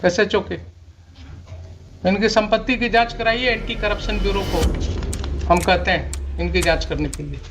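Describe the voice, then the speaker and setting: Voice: mid-range voice, fairly even intonation, normal speed, pauses often, background noise. A judge with an Indian accent speaking from the bench, stern and admonishing, repeating phrases for emphasis. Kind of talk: courtroom remarks from the bench, switching Hindi and English.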